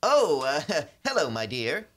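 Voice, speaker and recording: A man's voice: fairly high pitch, huge pitch swings, takes it slowly, fairly bright tone, full character voice. A man playing a stuffy, pompous boss who recites proverbs, in old-time radio comedy style. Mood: flustered.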